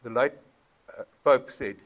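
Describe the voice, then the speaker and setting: A South African man opens a community meeting in a town hall, speaking through a PA microphone. His delivery is earnest and halting, with frequent ums and a mid-range voice. He drifts between Afrikaans and English as he talks. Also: medium pitch, fairly bright tone. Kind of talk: public address at a town meeting. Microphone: PA microphone with feedback.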